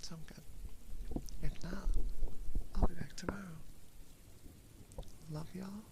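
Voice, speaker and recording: A woman whispers softly and breathily, right up on a binaural ASMR mic. Her low murmur is heavily creaky, crackling with fry. Clear background noise sits behind the voice. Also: steady tone, takes it slowly. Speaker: ASMR streamer. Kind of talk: whispered ASMR reading and casual chat. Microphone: close binaural ASMR microphone.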